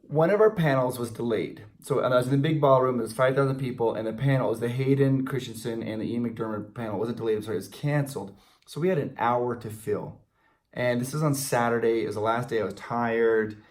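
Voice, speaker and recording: A middle-aged man co-hosting a casual fan question chat, telling stories in an animated way. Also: medium pitch, fast talker. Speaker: co-host of a theme park fan channel. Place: small indoor room, in front of a banner.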